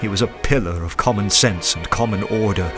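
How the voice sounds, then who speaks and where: An actor playing an anxious undercover detective, narrating a radio play in the first person, earnest and dramatic. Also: male voice, on the low side, fairly quick, over music, natural voice. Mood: fearful dread.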